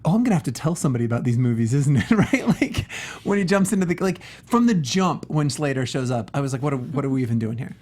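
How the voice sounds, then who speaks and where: A man's voice: mid-range voice, expressive, fast talker, a little mellow. A man co-hosting a talk show, chatting casually about a film in a wry, slangy way. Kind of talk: casual movie review banter.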